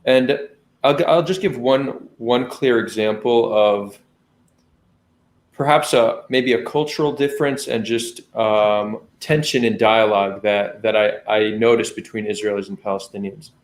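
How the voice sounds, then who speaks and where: A man who is a guest on a podcast, explaining things in a calm, measured way. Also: lowish voice, a little hiss or hum. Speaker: guest, founder of a dialogue channel. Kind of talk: conversational podcast interview. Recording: roomy echo.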